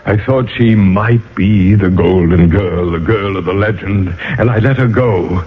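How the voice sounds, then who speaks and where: A man's voice: sits low, unhurried, husky. An actor playing a centuries-old nobleman's ghost in a radio drama, anguished and remorseful, faltering theatrically and repeating his phrases. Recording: muffled old radio broadcast, music behind.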